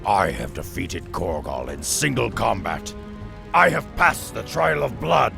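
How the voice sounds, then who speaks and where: An actor playing a stoic, proud half-ogre warrior in a video game, speaking solemnly and deliberately. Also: male voice, lowish voice, big pitch swings, vocal fry, over music, exaggerated voice. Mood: commanding. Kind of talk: video game cutscene acting.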